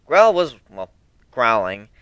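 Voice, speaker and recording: Dramatic reader for fan fiction narration; crisp brightness and very mobile intonation. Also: medium pitch, very animated, takes it slowly, no room sound.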